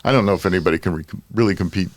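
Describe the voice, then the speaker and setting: A man's voice: deep voice, rapid-fire. An older man with an American accent, hosting a casual podcast panel chat. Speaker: podcast host.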